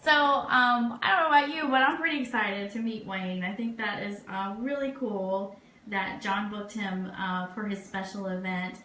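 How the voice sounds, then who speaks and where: A woman's voice: mid-range voice, moderate pace. A woman radio host chatting enthusiastically and informally as she runs through upbeat event announcements. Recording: roomy echo, steady background noise.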